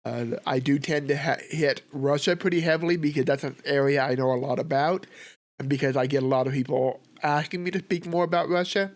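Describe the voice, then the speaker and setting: A man with a sore throat from a cold, hosting a history reaction with relaxed, rambling commentary. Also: fairly high pitch, a little fast. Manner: relaxed, rambling, thinks aloud with fillers. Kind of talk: casual commentary.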